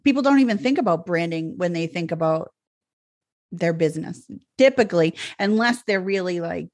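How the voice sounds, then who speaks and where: A woman's voice: lowish voice, animated, moderate pace, darkish tone, dry recording, clean studio sound. A woman from the Boston area with a faint Boston accent, co-hosting a podcast in casual chat and laughing often. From Boston area, USA. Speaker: podcast co-host.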